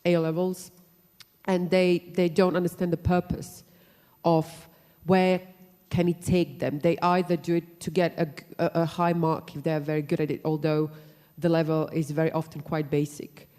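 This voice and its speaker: A woman from Croatia asking a panel a question from the audience, pausing often to find her words. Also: low voice, little pitch movement, dark tone. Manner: hesitant, searching for words. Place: lecture hall. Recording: long hall reverb.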